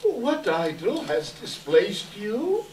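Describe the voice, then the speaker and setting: A man's voice: sits high, wildly swooping pitch, takes it slowly, slight background noise, heavily acted. A man playing a defensive suitor in the prime of life, formal and questioning, in a stage reading. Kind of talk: readers theater stage reading. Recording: echoey hall from far off.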